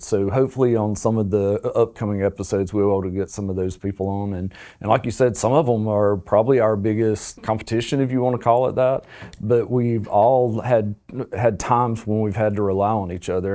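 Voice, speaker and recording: A man's voice: fairly low pitch, dark tone. A man co-hosting a podcast in an easygoing, teasing way. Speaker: podcast co-host, nurse practitioner. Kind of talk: casual podcast chat.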